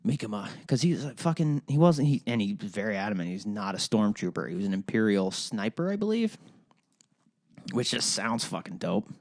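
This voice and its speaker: A man's voice: fairly low pitch, big pitch swings, normal speed, a little mellow, dry recording, studio quality. A young man from Ohio with a Midwestern accent, hosting a solo podcast in a rambling, profane, casual way. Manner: rambling, profane, full of fillers and restarts. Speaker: podcast host.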